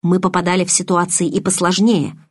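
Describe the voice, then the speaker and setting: A woman's voice: lowish voice, level tone, fairly quick, no room sound, studio quality, close to an everyday voice. Woman acting an intense, hot-tempered young man. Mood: cold, controlled. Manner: curt, forceful, irritated. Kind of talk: dramatised audiobook reading.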